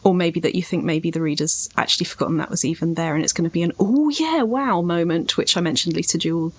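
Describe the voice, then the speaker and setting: A woman's voice: on the low side, fast. Articulate woman talking through writing craft. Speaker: podcast co-host, writing coach. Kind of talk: conversational discussion of writing craft.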